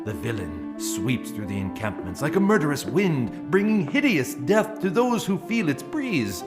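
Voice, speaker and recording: An actor playing a stern, formal royal adviser in an audio drama, speaking in an ornate courtly manner. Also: male voice, on the high side, big pitch swings, a little slow. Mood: grave, respectful. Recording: clear music behind the voice.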